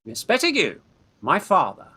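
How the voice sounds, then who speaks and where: An actor playing a scheming young Victorian gentleman in a radio farce, urgent, hesitant and bossy. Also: high-pitched, wildly swooping pitch, normal speed, husky, full character voice. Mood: anxious.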